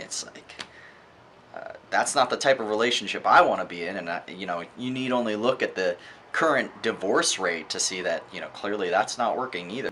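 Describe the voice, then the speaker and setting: Male vlogger, fairly low pitch, bright forceful edge; emphatic, conversational opinion delivery over faint background noise. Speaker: book reviewer, vlogger. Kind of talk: informal opinionated book review monologue.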